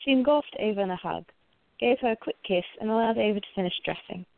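A woman with a creaky voice reading scripted story narration for a radio play. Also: medium pitch, expressive, fast, dry recording. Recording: narrow phone-like, dry sound.

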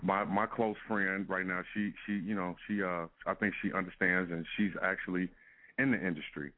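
Deep-voiced man on a phone line. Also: steady tone, moderate pace. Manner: laid-back, laughs often, fillers like "you know what I'm saying". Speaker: music artist guest. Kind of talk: casual phone interview. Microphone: telephone. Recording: phone-line call-in audio.

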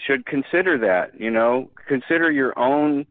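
A man's voice: mid-range voice, unhurried, no echo. A man talking as a podcast guest, earnest and emphatic, often restarting his sentences. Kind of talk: casual conversation. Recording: dry phone-like line.

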